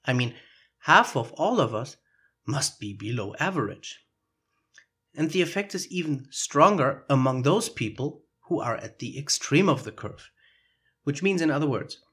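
Guy with a foreign accent doing a solo podcast, husky, lively up and down voice, explains stuff. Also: mid-range voice, moderate pace. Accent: foreign accent in English. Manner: explanatory, reflective. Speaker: podcast host.

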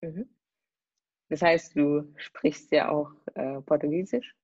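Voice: medium pitch, fairly even intonation, slow pace, a little mellow, breathy edge, no echo, muffled recording. A podcast host asking questions in a casual way, with hesitant fillers.

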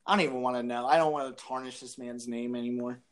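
A man's voice: medium pitch, steady tone, fast. A man co-hosting a casual film podcast, reacting with incredulous interjections. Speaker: podcast co-host. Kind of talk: casual chat about films.

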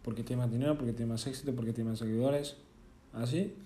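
Young man, reflective monologue, mellow dark tone, roomy echo. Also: mid-range voice, fairly even intonation, quick pace, a little hiss or hum. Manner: casual and earnest, with self-corrections and tag questions. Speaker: vlogger.

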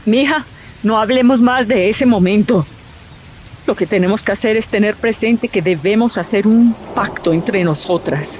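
A woman playing a frightened middle-aged Colombian mother in an audio drama, pleading with hushed urgency. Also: medium pitch, moderate pace, natural voice, rough recording. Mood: grave. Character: frightened, pleading mother guarding a traumatic secret. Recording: rain noise over the voice.

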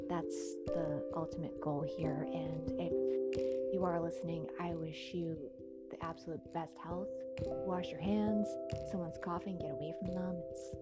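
A woman reading aloud and chatting as an audiobook podcaster, with an expressive manner. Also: fairly low pitch. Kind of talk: literary narration and casual chatty asides.

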